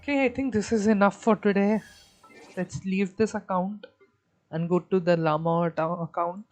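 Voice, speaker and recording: A woman live-streaming a game, chatting away as she plays. She thinks aloud, restarts her sentences while she counts things up, and keeps turning to her viewers for input. Her pitch sits in an ordinary middle range. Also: steady tone, normal speed, a little mellow. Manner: thinks aloud, talks to chat. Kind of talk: casual live-stream commentary.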